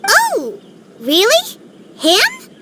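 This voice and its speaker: Tiny perky fairy, extremely high squeaky voice, wildly swooping, bubbly, blunt, video game companion. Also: female voice, huge pitch swings, very slow, heavy background noise, full character voice. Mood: curious. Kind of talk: scripted video game dialogue.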